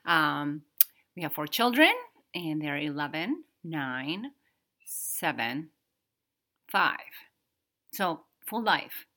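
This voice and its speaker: A woman in her thirties from the Dominican Republic, speaking English with a Dominican Spanish accent as a podcast cohost, hesitant and pausing to find words, often laughing. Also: sits low, expressive, pushed and bright. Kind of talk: casual podcast conversation.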